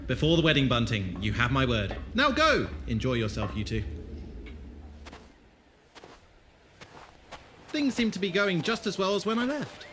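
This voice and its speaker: A man's voice: on the high side, huge pitch swings, brisk, noisy background. A man playing a wry, commanding adventurer in an audio drama, speaking in a blunt, clipped manner. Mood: hearty. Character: wry, commanding male adventurer with troubled conscience. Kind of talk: fan audio drama acting.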